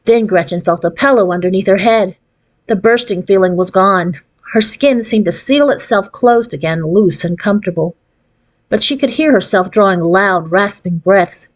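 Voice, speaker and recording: Give the voice of a woman narrating a children's novel aloud. Her pitch sits in the middle range, and her delivery is expressive storytelling. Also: normal speed. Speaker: audiobook reader.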